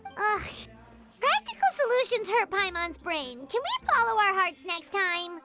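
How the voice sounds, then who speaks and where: An excitable little fairy sidekick from a video game. The voice is extremely high, bright and childlike, bouncing around with blunt, eager reactions. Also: female voice, unhurried, faint music behind, full character voice. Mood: whiny. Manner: childlike, excitable, refers to self by name. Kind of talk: video game character acting.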